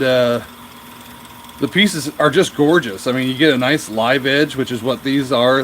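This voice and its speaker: A man with a nasal, slightly stuffy voice talks in a loose, rambling way as he streams from a chilly home workshop. He drifts between describing his work and answering viewers' comments. Faint noise hums in the background. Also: medium pitch. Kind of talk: casual livestream chat.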